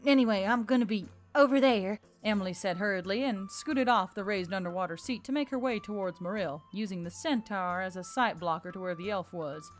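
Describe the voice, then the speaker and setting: A woman reading scripted fantasy fiction as an audiobook narrator. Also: mid-range voice. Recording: music bed under the voice.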